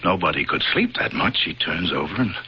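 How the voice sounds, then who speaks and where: Young son, fatalistic, blunt, resigned, vintage radio play, dull lo-fi recording, faint noise. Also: male voice, deep voice, lively intonation, fairly quick. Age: young adult. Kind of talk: 1970s radio drama acting.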